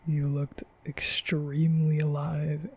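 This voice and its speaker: A man playing a nervous, gentle young vampire in an intimate ASMR roleplay, speaking hesitantly in a breathy half-whisper. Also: a little high, monotone, heavily acted. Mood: amused, gentle. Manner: hesitant, stammering, slow.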